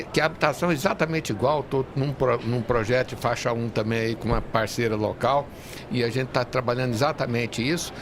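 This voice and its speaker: A middle-aged man, explaining things earnestly in a conversational interview, often rephrasing as he goes. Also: medium pitch. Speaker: real estate industry association leader, podcast guest. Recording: busy trade fair background noise. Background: urban fair ambience.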